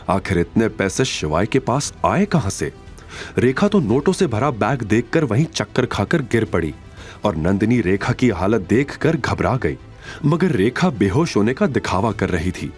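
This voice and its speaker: Animated man narrating an audio drama. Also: lowish voice. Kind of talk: dramatic scripted story narration. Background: background music.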